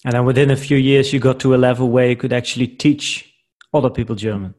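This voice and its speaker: A man's voice: medium pitch, level tone, fast talker, a little mellow. A man hosting an interview, asking questions and giving frequent short agreements. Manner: attentive, frequent backchannel agreement. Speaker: interviewer, podcast host. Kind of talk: interview, casual conversation.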